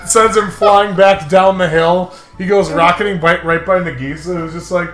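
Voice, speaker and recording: A man's voice: high voice, steady tone, normal speed, fairly bright tone. A man co-hosting a comedic let's play, reading game text aloud with mock drama and jokey, casual banter. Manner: playful, mock-dramatic, jokey, often laughing. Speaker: co-host of a visual novel let's play. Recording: roomy echo with music behind.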